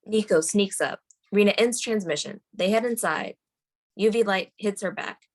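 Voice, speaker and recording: Woman narrating a screenplay, medium pitch. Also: normal speed. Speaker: narrator reading screen directions. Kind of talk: scripted narration at a table read.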